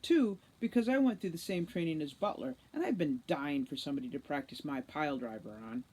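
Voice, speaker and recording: A woman's voice: sits low, expressive, fairly quick, warm tone, breathy edge. A woman reading a storybook, voicing a vain, gushing, teasing teenage girl captor.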